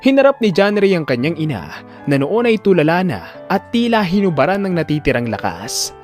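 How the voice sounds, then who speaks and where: A man narrating a scripted audio drama in a solemn, expressive, theatrical storytelling style. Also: a little high, normal speed, soft background music.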